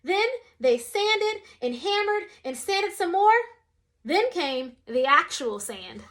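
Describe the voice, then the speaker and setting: Young adult woman, children's story reader, expressive, wide-ranging melody. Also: extremely high pitch, slow. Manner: expressive, shifts voice for quoted characters. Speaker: storyteller reading a picture book. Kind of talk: children's read-aloud.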